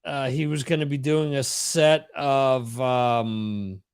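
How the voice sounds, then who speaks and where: A man co-hosting a casual chat, answering slowly and hesitantly. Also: mid-range voice, steady tone, smooth. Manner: slow, hesitant, measured.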